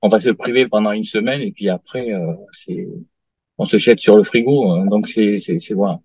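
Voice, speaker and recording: A man in his late fifties giving a halting testimonial in an interview, full of fillers and restarts. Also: on the low side, a little mellow, breathy edge, dry recording. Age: 50s. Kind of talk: hesitant interview answers. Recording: dull lo-fi dry sound.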